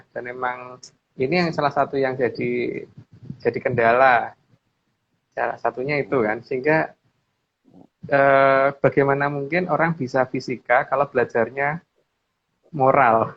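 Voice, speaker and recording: A man's voice: medium pitch, normal speed, long pauses. A middle-aged man explains his opinions in casual discussion, often repeating phrases and seeking agreement. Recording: dull lo-fi audio, clear background noise.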